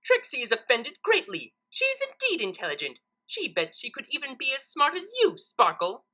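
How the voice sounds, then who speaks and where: A boastful female unicorn magician from a cartoon fan story, acted out by a woman reader. The delivery is pompous and theatrical, with a bright, forceful edge and big swoops in pitch. She refers to herself grandly in the third person. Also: wildly swooping pitch, normal speed, full character voice. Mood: haughty, offended.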